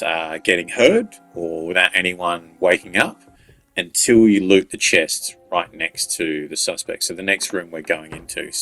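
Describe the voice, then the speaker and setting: A man giving casual, unscripted video game walkthrough commentary in a halting, pause-filled way. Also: low voice, fairly bright tone, poor audio. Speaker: gaming video creator giving walkthrough tips. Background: faint game music.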